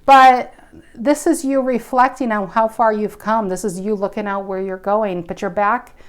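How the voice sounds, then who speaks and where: A woman in her forties talks through a tarot spread, musing and intuitive. She thinks aloud as she goes, breaking off and restarting her sentences. Her voice sits at an ordinary middle pitch. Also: fairly even intonation, normal speed. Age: 40s. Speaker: tarot reader. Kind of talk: casual spoken tarot reading.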